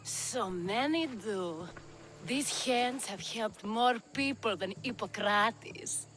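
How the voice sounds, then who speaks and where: An actress playing a worldly, flirtatious courtesan in a video game cutscene, with a languid, wry manner. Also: female voice, on the high side, expressive, slow pace, fairly bright tone, soft background music, low-quality recording.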